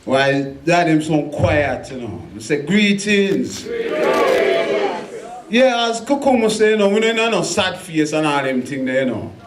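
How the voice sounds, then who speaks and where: A man from England speaks with a Birmingham Jamaican patois, bantering easily with the crowd at a spoken word event. His voice sits high for a man. The room around him is echoey. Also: lively intonation, a little slow, noisy background. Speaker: poet.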